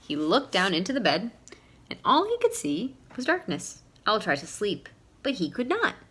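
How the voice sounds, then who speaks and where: A woman with a mid-pitched voice reads a picture book to young children. She narrates like a teacher at story time, often turning to her listeners with simple questions. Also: huge pitch swings, moderate pace, a bit bright, husky.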